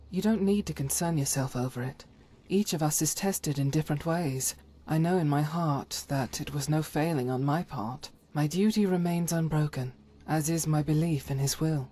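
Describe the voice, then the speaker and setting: A woman's voice: sits low, moderate pace, few pauses, mellow. A woman voicing a devout, stern holy warrior who carries private doubt, speaking solemnly and formally, as in a fantasy game. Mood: guarded, resolute. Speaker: voiced follower character in a fantasy game mod. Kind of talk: scripted dramatic game dialogue.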